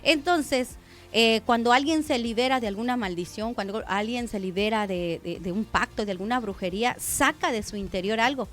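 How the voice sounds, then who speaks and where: A woman's voice: a little high, moderate pace, faint music behind. A woman pastor giving a conversational radio sermon, often addressing listeners as brother.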